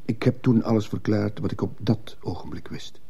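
An actor playing a troubled, conscience-stricken schoolteacher in a radio play, hesitant and earnest, with a husky, gravelly voice. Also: male voice, on the low side, moderate pace, warm tone, heavily acted. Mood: hesitant, guarded. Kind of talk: Dutch-language radio drama acting.

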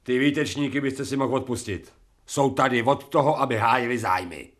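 A man's voice: mid-range voice, close to an everyday voice. A man playing a cheeky dog-turned-man. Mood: insolent. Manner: coarse, cheeky, slangy. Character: crude insolent man made from a dog. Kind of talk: radio drama acting.

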